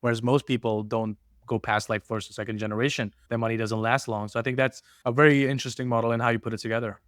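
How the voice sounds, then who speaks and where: Indian-accented guy chatting as a podcast guest, really quick talker, dry close sound. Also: medium pitch, steady tone, dry recording. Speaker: podcast guest, trader and educator. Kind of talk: conversational interview answers.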